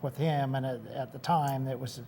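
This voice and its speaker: A man's voice: medium pitch, fairly even intonation, normal speed, warm tone, slight background noise. Canadian businessman telling his story. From North Vancouver, Canada. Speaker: business owner guest. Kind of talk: TV interview answers. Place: TV studio.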